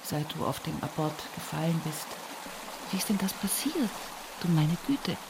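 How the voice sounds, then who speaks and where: An actress playing an earthy, stubborn, devout widow from the village in an intimate radio monologue, with a husky, breathy voice and an Upper Palatinate Bavarian accent. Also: female voice, low voice, lively intonation, moderate pace, background noise. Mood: tender, plaintive. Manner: earthy, folksy storytelling at a bedside. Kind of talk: intimate radio drama monologue in rural vernacular.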